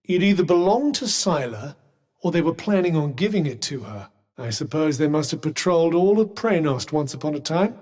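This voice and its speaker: A man's voice: on the high side, animated, normal speed, darkish tone. A man with a British accent voicing a haughty, erudite magical cuff in a fantasy video game, with polished diction and dry sarcasm. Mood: dry, somber. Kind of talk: scripted game companion dialogue.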